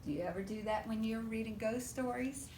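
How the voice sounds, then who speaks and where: A woman reading a picture book aloud to young children in an expressive, animated way, often putting questions to her listeners. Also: fairly quick. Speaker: preschool storytime reader. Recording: roomy echo with faint low rumble.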